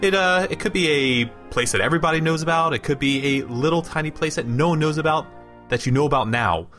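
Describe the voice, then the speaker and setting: A man's voice: a little high, quick pace, a bit bright, faint music behind. A man co-hosting a podcast, presenting in an upbeat, enthusiastic, casual way. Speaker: podcast co-host. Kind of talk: casual chat.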